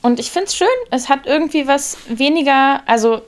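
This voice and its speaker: A woman co-hosting a casual podcast chat about a gym, quick-witted and teasing. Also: high voice. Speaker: podcast co-host, gym trainer.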